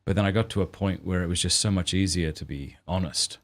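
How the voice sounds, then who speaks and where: A man's voice: low-pitched, fast talker. A man with an Australian accent hosting a podcast, talking candidly and self-deprecatingly in casual conversation. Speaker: podcast host.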